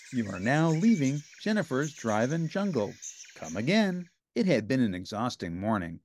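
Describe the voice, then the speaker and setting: Very animated male storybook narrator, dry recording. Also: mid-range voice, no echo. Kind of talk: expressive scripted read-aloud for children.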